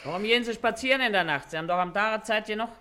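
An actress playing a sly, quick-witted working washerwoman in a period comedy, brusque and dry, with an eastern German dialect. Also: mid-range voice, background noise, close to an everyday voice. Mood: guarded, wary. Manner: brusque, evasive, dry retorts. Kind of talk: stage comedy acting in a period play.

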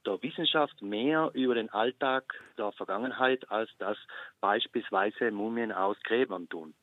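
A man being interviewed as a museum expert, explaining facts in a measured, informative way. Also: on the low side, no room sound. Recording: tinny, narrow phone-like line.

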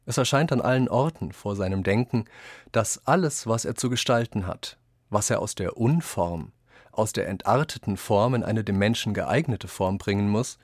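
A man reading quoted texts for a scripted radio essay, with deliberate, declamatory phrasing. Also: lowish voice, no room sound, clean studio sound. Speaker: reader of quotations in a radio essay.

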